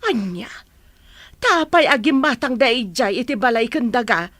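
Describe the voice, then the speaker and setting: A woman's voice: a little high, animated, normal speed, a bit bright, no room sound. A woman playing a questioning older village wife in an Ilocano radio comedy drama, with a Filipino accent. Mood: questioning, puzzled.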